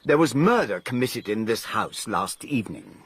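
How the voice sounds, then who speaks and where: A husky, clipped gentleman. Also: mid-range voice, very animated, moderate pace, no echo. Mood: reasoning. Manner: clipped, reasoning, authoritative. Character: urbane English gentleman, host and narrator. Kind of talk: 1920s-set radio drama.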